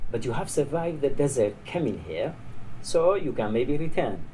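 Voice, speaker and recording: A man's voice: mid-range voice, very animated, normal speed, warm tone, natural voice. A man reading the part of a tired old border guard in a story, chatty, dismissive and grimly amused. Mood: calm warning. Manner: weary, chatty, discouraging. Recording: noisy live reading in a bar.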